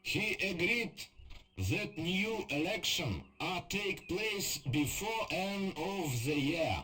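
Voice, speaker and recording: An obedient state newsreader delivers a game's radio bulletin in stiff broken English. The Russian-accented voice is high, sharp and slow, with formal, halting phrasing. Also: male voice, very animated, heavily acted. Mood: officious. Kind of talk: scripted propaganda radio news bulletin.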